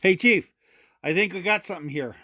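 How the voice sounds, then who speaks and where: A man's voice: high-pitched, brisk, sharp tone, slight background noise. An actor playing an easygoing male park ranger in an audio drama, talking in a conversational, somewhat hesitant way. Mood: curious.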